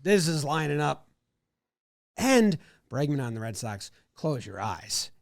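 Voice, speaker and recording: A man's voice: fairly high pitch, big pitch swings, measured pace, creaky, dry recording, studio quality. A man co-hosting a podcast, chatting casually about sports, rambling and second-guessing himself. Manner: rambling, self-doubting, acts out what he thought. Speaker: podcast co-host.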